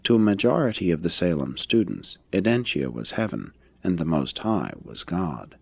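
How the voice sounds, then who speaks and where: A man reading a religious text aloud as scripted narration, in a formal, measured, expository manner. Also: sits low, big pitch swings, gravelly, breathy edge, dry recording. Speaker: audiobook reader.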